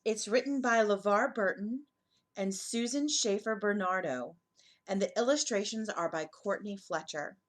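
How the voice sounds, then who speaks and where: A woman's voice: mid-range voice, a little slow. A woman hosting a children's storytime, explaining things simply and reassuringly for young listeners.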